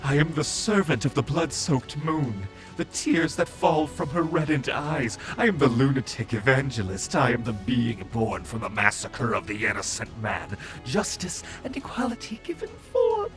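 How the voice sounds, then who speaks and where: A man playing a mad, zealous villain, a servant of a moon goddess, preaching in a grandiose, drawn-out way in a fantasy audio roleplay. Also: mid-range voice, big pitch swings, soft background music, natural voice. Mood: zealous menace.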